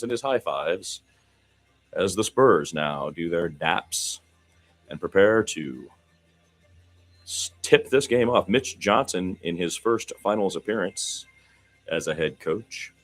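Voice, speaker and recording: A man's voice: low voice, animated, takes it slowly. A man doing amateur radio-style basketball commentary in a conversational, folksy manner. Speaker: play-by-play commentator.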